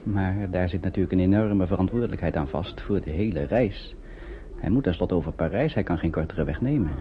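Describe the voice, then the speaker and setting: A man narrating an old radio play as a calm storyteller, with scripted delivery. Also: deep voice, a little fast, close to an everyday voice. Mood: calm, storytelling. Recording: dull, slightly noisy old radio recording.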